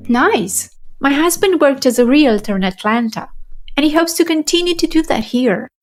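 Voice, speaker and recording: A woman playing a polite new neighbor, high voice. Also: animated, normal speed, soft background music. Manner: polite, friendly. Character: polite woman newly moved in, works in IT. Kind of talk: scripted conversation for listening practice.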